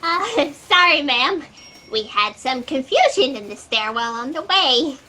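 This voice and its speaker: A woman playing an anxious, excitable and apologetic fact-checker underling in a sci-fi satire audio drama. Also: high-pitched, a little slow, big-room sound, a little hiss or hum, exaggerated voice. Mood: flustered, apologetic. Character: flustered, fast-talking, anxious young female fact-checker underling.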